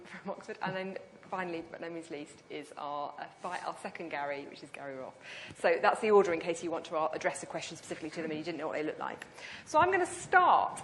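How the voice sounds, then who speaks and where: A woman's voice: on the low side, a little hiss or hum. A British woman chairing a panel debate in front of an audience, chatty and jokey as she introduces the speakers and puts questions to them. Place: lecture hall before an audience.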